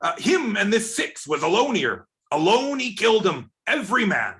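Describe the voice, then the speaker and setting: A man's voice: high-pitched, pushed and bright, exaggerated voice. A man playing a wily, blustering pirate seaman in a radio play, speaking in pirate dialect.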